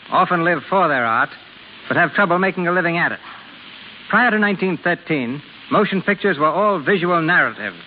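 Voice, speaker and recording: A male 1930s radio host reads a script in a formal, stately way. His voice sits somewhat high. The old recording is narrow and tinny, with long reverberation. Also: a little hiss or hum.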